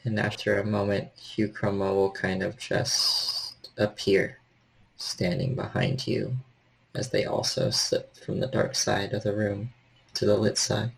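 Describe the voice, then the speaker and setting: A man working as storyteller, narrating a horror role-playing game in a measured, descriptive way. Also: on the low side, flat delivery, vocal fry, poor audio.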